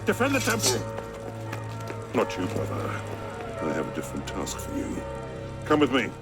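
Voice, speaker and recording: An actor playing a grave, defiant medieval Templar grandmaster in a video game, declaiming solemnly and forcefully. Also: male voice, lowish voice, wildly swooping pitch, normal speed. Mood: urgent, grave. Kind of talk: dramatic video game acting. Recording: loud music over the voice.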